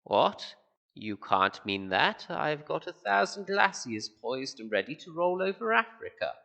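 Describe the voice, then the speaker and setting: Someone doing a meek old artist guy, creaky voice, pitch swings about, halting, audio drama. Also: very animated, bright, forceful tone, heavily acted. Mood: indignant. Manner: halting, meek, precious about his art. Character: deferential, wounded old planet designer, male. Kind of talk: amateur audio drama acting.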